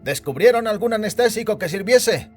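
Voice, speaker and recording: A man playing an uneasy, deferential subordinate in an audio drama, earnest and respectful even as he objects. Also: high voice, a little fast. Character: Svets, uneasy, deferential male subordinate recovering in hospital. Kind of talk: scripted Spanish audio drama acting. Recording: music bed behind the voice.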